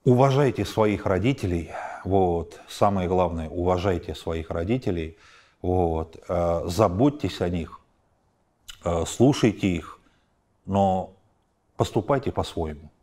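A low-pitched man in his forties talks through an interview in a modern apartment. He piles up examples and pushes his emphasis higher as the list goes on. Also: a little slow. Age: 40s. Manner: animated, lists examples with rising emphasis. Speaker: film director. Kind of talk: interview answers. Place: high-rise apartment at night, indoors.

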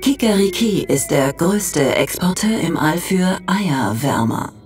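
A woman playing the matter-of-fact narrator of a cosmic encyclopedia, reading measured mock-documentary narration. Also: fairly low pitch, very animated, darkish tone, soft background music. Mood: informative, measured. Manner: measured, informative.

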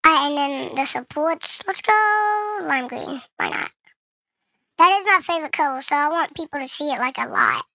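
High-pitched creaky gamer with voice changer. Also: big pitch swings, normal speed, bright, forceful tone, no echo, rough recording. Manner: chatty, addresses viewers, thinks aloud. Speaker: gaming video creator. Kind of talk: casual game commentary while playing.

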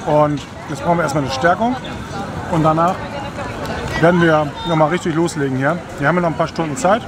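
A man's voice: fairly high pitch, steady tone, fairly quick. A man in his forties, chatting to a camera held at arm's length as a family vlogger outdoors in an amusement park. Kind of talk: casual vlog narration. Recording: outdoor crowd noise. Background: crowd noise.

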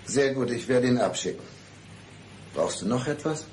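An actor playing a coldly calm husband who plots murder, speaking deliberately in a German radio crime drama. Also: male voice, medium pitch, a little hiss or hum, natural voice. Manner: deliberate, menacing composure, philosophizing. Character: coldly calm husband plotting to kill his wife. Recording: 1990s radio play with music behind.

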